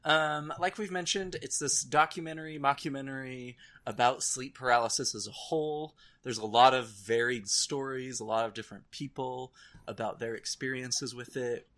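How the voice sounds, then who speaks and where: A man's voice: mid-range voice, moderate pace, bright, forceful tone. A man co-hosting a podcast in casual conversation, with an informal, wry, self-deprecating way of talking. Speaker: podcast co-host. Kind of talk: casual chat about nightmares and horror films.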